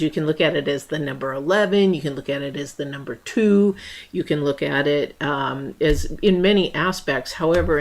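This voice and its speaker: A woman from the United States giving a casual tarot reading, rambling with many fillers and restarts, her voice a little worn and going hoarse. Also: low-pitched. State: losing her voice. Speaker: tarot reader. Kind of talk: casual spiritual reading to camera.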